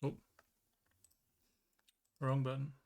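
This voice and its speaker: A man's voice: medium pitch, monotone, extremely slow, a little mellow. An Australian man streaming live, rambling casually as he reads and answers his chat and swears freely.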